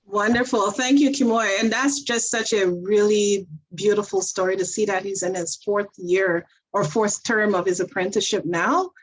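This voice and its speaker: A woman's voice: mid-range voice, fairly even intonation, moderate pace, few pauses, breathy edge, rough recording. A woman moderates a panel discussion. Her manner is encouraging and affirming, and her voice sits in the middle of the female range.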